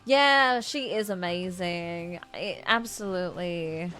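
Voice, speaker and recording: A woman's voice: fairly low pitch, takes it slowly, sharp tone. A woman livestreaming a game on a close mic, reacting casually with gushing, affectionate exclamations as she chats to viewers. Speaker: game streamer. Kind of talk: casual live playthrough reactions. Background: faint music.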